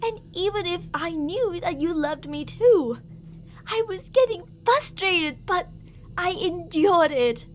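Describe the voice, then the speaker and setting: A woman's voice: extremely high pitch, expressive, takes it slowly, a little hiss or hum, exaggerated voice. A woman playing an obsessive, delusional stalker in an ASMR roleplay, theatrical and sweetly menacing, with jealous, volatile endearments and reproaches. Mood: frustrated, fervent.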